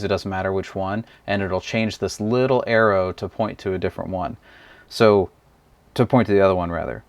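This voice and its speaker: Low-voiced man, laid-back product reviewer, dry close sound. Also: no echo. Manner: relaxed, explanatory, talks while handling the device. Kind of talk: casual hands-on gadget walkthrough.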